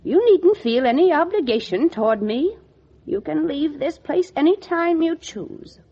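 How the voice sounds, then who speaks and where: A woman's voice: high voice, animated, measured pace. An actress playing a stern, domineering older lady in a 1940s radio drama, scolding in an imperious, theatrical way. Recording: dull vintage 1940s radio recording.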